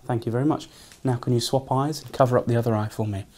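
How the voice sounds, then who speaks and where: A young British man speaks as a doctor examining a patient. His voice is mellow and mid-pitched. He gives clear, courteous instructions in a small consulting room. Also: fairly even intonation, fast. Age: young adult. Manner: courteous, frequently thanking. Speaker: doctor, neurology registrar. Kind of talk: polite clinical exam instructions. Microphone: camera across the room.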